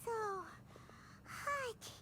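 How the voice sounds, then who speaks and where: A woman playing a tiny, excitable, whiny fairy companion in a video game, with a childlike, dramatic delivery. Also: very slow. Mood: whiny, weary. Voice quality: squeaky, childlike. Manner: refers to self in the third person, dramatic. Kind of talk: video game character acting. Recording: game audio with loud background noise.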